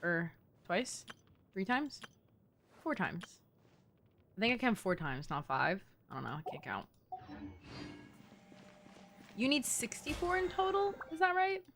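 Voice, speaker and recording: A woman streams a video game with a very animated, swooping voice. She comments casually and excitably, swearing freely as she goes. There is slight background noise with clicks and game sounds. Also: on the high side, normal speed. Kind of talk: casual live gaming commentary with friends.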